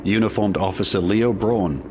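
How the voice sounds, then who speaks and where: Deep-voiced male drama narrator. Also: normal speed, noisy background. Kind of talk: scripted audio drama narration.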